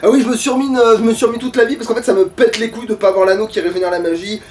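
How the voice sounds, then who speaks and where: A man playing a video game and chatting casually, foul-mouthed and prone to exasperated grumbling and shouting. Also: high voice, fairly even intonation, rapid-fire, recorded from a distance. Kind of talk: casual let's play chat.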